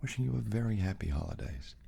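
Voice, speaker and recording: A man giving a greeting in a soft ASMR style. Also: lowish voice, expressive, fast talker, creaky, slight background noise.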